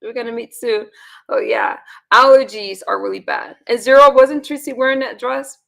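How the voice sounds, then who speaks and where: A hoarse woman hosting a casual livestream, rambling and talking straight to her chat. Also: a little high, very animated, moderate pace, fairly bright tone. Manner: rambling, talks to the chat directly. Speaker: livestream host.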